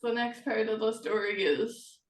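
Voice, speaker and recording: Slow, high-voiced woman in an echoey room. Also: fairly even intonation. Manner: halting, trailing off mid-thought. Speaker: author and interview host. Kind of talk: casual chat. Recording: echoey room, distant from mic.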